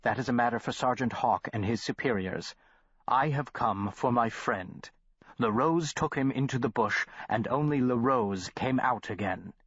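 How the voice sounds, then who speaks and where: A man's voice: mid-range voice, normal speed, no room sound, natural voice. An imperious, curt scientist, as a male narrator puts him on, clipped and indignant. Dry, slightly dull audiobook sound. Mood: impatient.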